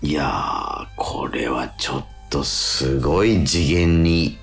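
A man's voice: a bass voice, takes it slowly, soft background music. A man co-hosting a casual radio chat, reacting often with short interjections and repeated words. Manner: reactive, frequent nodding interjections and repetitions. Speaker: radio show co-host.

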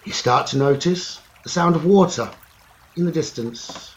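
A man leading a guided meditation slowly and soothingly, in short phrases with long pauses. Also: fairly high pitch, dull audio. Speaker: meditation teacher. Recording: running stream water noise behind voice. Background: trickling stream water ambience.

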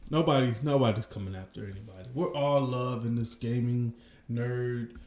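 A man's voice: mid-range voice, steady tone, normal speed, darkish tone. Laid-back man podcasting in an echoey room. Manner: joking, laid-back, lots of "uh". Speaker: podcast co-host. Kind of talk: casual podcast chat. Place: indoor room, across from co-host.

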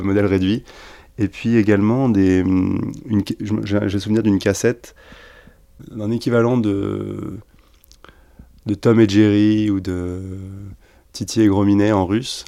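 A man's voice: fairly low pitch, little pitch movement, unhurried, creaky, dark tone. A man giving an interview, recalling childhood memories in a hesitant way with many fillers. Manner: hesitant, frequent fillers, laughs while talking.

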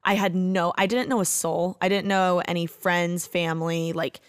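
A woman in her twenties from Indiana, talking casually as a podcast guest, filling her speech with "like" and quick restarts. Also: fairly low pitch, steady tone, clear, resonant tone. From Indiana, USA. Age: 20s. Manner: chatty, says "like" constantly, quick restarts. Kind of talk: casual conversation.

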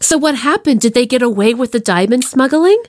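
A woman's voice: a little high, expressive, brisk. A woman hosting a casual podcast interview with an effusive, playful way of talking. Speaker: podcast host.

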